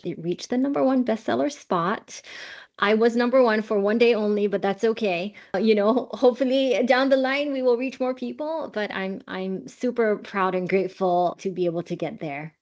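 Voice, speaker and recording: A woman from Singapore, an author and podcast guest, explaining in a measured, earnest way. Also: on the low side, a little mellow. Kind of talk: interview answers. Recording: dull lo-fi audio.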